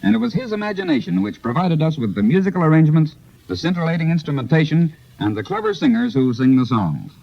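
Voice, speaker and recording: Animated man on dull 1930s radio. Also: a little high, fast, creaky, dry recording. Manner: formal, salesman-like, elaborate phrasing. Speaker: radio producer promoting a show. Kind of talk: scripted 1930s radio promotional interview.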